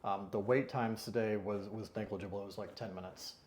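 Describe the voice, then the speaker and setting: A man's voice: on the low side, fairly even intonation, a little fast, darkish tone, roomy sound. A man being interviewed in an office, answering casually with many fillers and restarts. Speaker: interviewed client. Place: consulting firm office.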